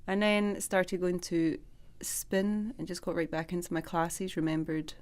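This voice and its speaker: A woman's voice: fairly low pitch, level tone, measured pace. A Scottish woman in her thirties, a personal trainer and dance teacher, chatting as a guest in a radio interview and laughing easily. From Scotland.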